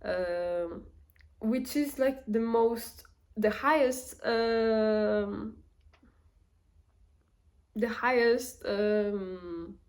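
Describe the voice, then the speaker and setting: Slow-talking woman with a Portuguese accent. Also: mid-range voice, a little mellow. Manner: unhurried, thinks aloud with many fillers and restarts. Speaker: vlogger. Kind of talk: casual reflective talk to camera.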